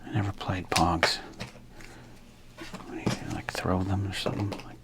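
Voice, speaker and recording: A man's voice: deep voice, level tone, normal speed, very noisy. A man casually commenting while opening packs of trading cards, with wry jokes and half-whispered asides. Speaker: card collector opening packs.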